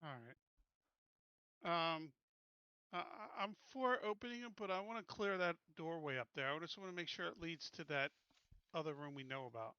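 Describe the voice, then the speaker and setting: A man's voice: on the high side, normal speed, bright, forceful tone, smooth, no room sound, highs cut off. A man playing a tabletop roleplaying game, casually talking through the next move.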